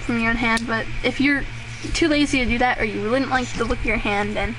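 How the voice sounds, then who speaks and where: A woman's voice: fairly high pitch, steady tone, a little fast. Rambling young woman with a noisy mic. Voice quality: voice turns raspy at times. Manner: rambling and self-deprecating, with frequent fillers and laughs. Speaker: drawing tutorial host. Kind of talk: casual drawing tutorial. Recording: clearly noisy home recording.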